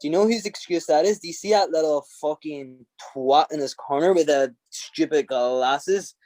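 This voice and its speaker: A man's voice: a little high, darkish tone, no echo. A man with an Irish accent, a podcast guest talking bluntly and profanely in a casual interview. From Ireland. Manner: blunt, profane and fiery, quick to laugh.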